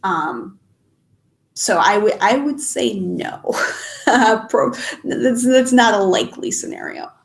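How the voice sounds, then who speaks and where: A woman's voice: on the high side, expressive, slow, vocal fry. A young adult woman, stuffed up and sniffly, chatting casually on a live stream and answering questions with many ums and restarts. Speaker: YouTuber answering live chat. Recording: echoey room.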